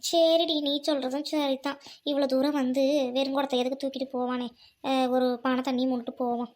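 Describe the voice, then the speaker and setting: A hot-tempered older village woman acted by a woman from a village drama. She speaks high and quickly, in a bossy, colloquial, questioning way. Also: little pitch movement, close to an everyday voice. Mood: softened, regretful. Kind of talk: village comedy-drama acting.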